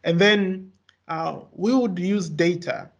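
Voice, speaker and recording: A young man whose first language is Swahili gives an academic research talk, halting often with fillers and restarts. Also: high-pitched, vocal fry. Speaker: researcher presenting.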